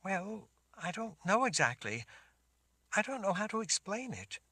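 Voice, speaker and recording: A man's voice: sits high, sing-song, measured pace, bright, forceful tone, no room sound, pitched above the performer's own voice, exaggerated voice. A man voicing a frightened, tearful young sorceress girl who sobs and pleads. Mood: shaky. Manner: sobbing, pleading questions.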